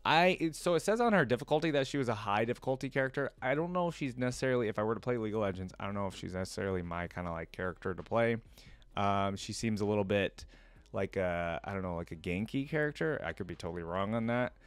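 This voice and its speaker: A man's voice: fairly low pitch, lively intonation, fast, clean tone, dry recording. Casual young man, dry close mic. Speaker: reaction video host. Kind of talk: casual chat to viewers.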